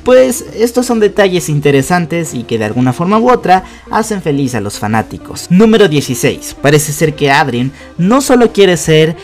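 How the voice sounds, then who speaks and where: A man's voice: fairly high pitch, sing-song, normal speed, few pauses. A young adult man hosting a narrated countdown breakdown, explaining conversationally with emphasis. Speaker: YouTube host. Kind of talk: narrated cartoon episode breakdown, countdown list. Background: background music.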